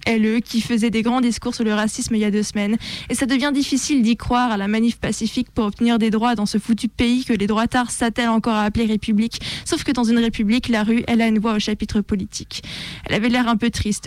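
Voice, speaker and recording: A woman's voice: a little high, no echo. A woman with a French accent, co-hosting a radio show and reading a scripted chronicle. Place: radio studio.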